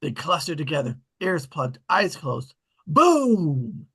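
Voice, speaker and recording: A man's voice: fairly high pitch, very animated, takes it slowly, creaky, bright, forceful tone, breathy edge. A man reading screenplay stage directions as scripted narration at a table read.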